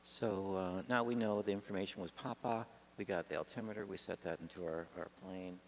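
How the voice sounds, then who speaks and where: A man giving an informal, halting lesson as he demonstrates pilot procedures, speaking in an improvised way. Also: deep voice, steady tone. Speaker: pilot demonstrating radio practice. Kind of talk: informal instructional talk. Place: garage. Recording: faint background noise.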